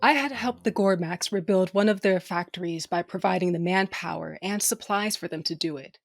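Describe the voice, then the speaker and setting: An actress playing a tough, wry spacefaring crew leader, narrating an audio drama in a brash, casual way. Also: female voice, fairly low pitch, moderate pace, gravelly, soft background music. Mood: gritty narration. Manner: brash, casual, take-charge.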